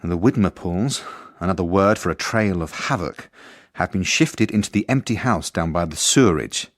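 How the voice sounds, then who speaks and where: An actor playing an earnest, polite, beleaguered headmaster in a radio drama, with a dry, formal, measured manner. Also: male voice, low-pitched, lively intonation. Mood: weary, polite. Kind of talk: radio drama narration and dialogue.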